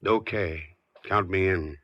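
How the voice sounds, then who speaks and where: A hardboiled, wary private eye with a fairly low voice. His lines are clipped, skeptical and wisecracking, in vintage radio crime style. The recording is dull and very dry. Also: slow, no room sound. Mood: wary, bargaining. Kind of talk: 1940s radio crime drama acting.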